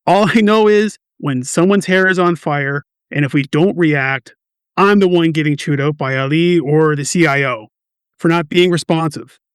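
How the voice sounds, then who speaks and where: A man plays a stressed quality assurance manager in an office radio drama. His voice sits on the high side, with a defensive, wry and touchy manner. The recording is dry. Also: normal speed, smooth, no room sound, lightly acted. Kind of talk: amateur radio play dialogue.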